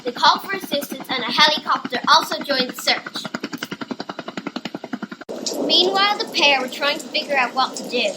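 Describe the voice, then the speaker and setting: High voiced female narrator reading a story, noisy amateur recording. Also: lively intonation, normal speed, long pauses.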